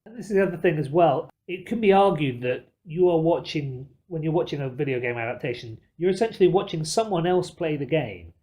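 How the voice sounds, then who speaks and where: Higher-voiced man debating on a podcast. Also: animated, brisk, hardly any pauses, darkish tone. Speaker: podcast co-host. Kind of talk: casual panel discussion.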